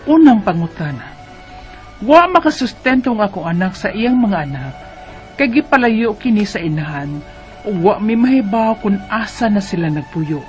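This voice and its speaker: A man with a high-pitched voice reads a letter aloud for a radio drama. His delivery is earnest and measured, with a lively, rising and falling melody. Soft music plays faintly underneath. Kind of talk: reading a listener's letter aloud.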